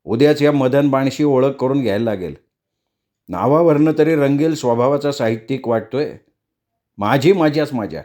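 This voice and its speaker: A man acting nosy freeloading neighbour, greedy, wheedling, muttering asides, mid-pitched, comic storytelling. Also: lively intonation, normal speed, darkish tone, natural voice. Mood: scheming.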